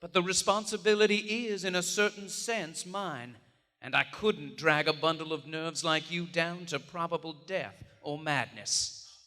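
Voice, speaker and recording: An actor playing a domineering, driven occult investigator, grave and condescending, in a theatrical horror radio play performed live. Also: male voice, fairly high pitch, a little slow, pushed and bright. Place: on stage in a large theater. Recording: live theater hall reverb.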